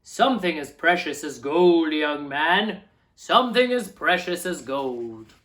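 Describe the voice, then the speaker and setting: A grand old man with a giant's voice, played by a woman reader. The delivery is loud, solemn and weighty, pitched below her usual voice, as in a children's storybook. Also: expressive, a little slow.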